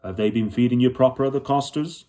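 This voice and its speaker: A man voicing a kindly, plain-spoken old leader in a children's storybook, quietly commanding and direct, with folksy rustic grammar. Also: medium pitch, fairly quick, natural voice. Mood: welcoming.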